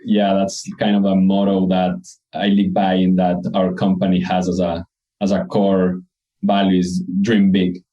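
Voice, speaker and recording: A man's voice: low-pitched, monotone, measured pace, mellow, lo-fi sound. A man from Ecuador with an Ecuadorian accent, a company co-founder answering interview questions in an earnest, reflective way. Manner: earnest, reflective, frequent restarts.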